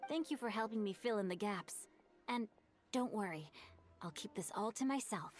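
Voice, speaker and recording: A gentle, bookish young gentleman from a video game, voiced by a woman, speaks in a mid-pitched voice. The delivery is polite, thoughtful and literary, with faint music behind. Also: lively intonation, normal speed, close to an everyday voice. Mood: warm, reflective.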